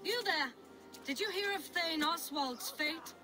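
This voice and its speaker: A woman's voice: extremely high pitch, animated, measured pace, music in the background. An actress playing a steadfast medieval woman leader in a video game, earnest and assured as she speaks. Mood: rallying. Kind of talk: video game cutscene acting.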